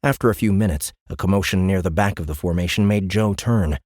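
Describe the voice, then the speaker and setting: A man's voice: low voice, fast talker, darkish tone, no echo, studio quality. A man narrating a science fiction audiobook in a dramatic, scripted storytelling style.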